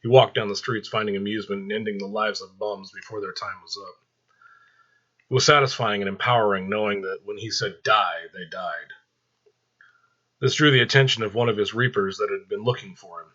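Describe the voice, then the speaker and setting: A man narrates a story dramatically in a lowish, husky voice with a forceful edge. The recording is slightly dull. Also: fairly quick.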